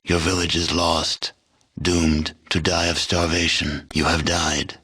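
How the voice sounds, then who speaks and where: Post-apocalyptic game narrator, very low pitch, rough husky tone, grave, measured, solemn storytelling. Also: male voice, flat delivery, sharp tone, full character voice. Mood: grave, ominous. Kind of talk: scripted epilogue narration.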